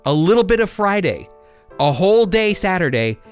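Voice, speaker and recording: A man's voice: sits high, big pitch swings, normal speed, clean tone, soft background music. A man giving expository Bible teaching in an explanatory way, putting rhetorical questions to the listener. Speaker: Bible teacher and program host.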